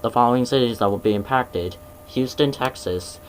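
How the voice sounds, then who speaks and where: A man playing a grave emergency alert announcer, reading scripted warnings in a formal, measured way over a noisy broadcast. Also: mid-range voice, close to an everyday voice. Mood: solemn, instructive.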